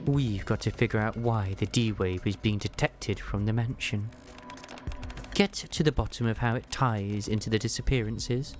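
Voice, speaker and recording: A man voicing a brisk, businesslike female agent explaining a mission in a story game. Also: fairly low pitch, natural voice. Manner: matter-of-fact, explanatory, businesslike. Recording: music behind the voice.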